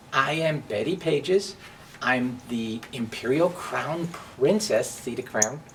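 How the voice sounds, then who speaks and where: A man speaking informally and deliberately as a presenter, drawing out words for emphasis. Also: mid-range voice. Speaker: presenter and drag performer. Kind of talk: informal personal talk and story hour introduction. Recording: clear steady hum of background noise.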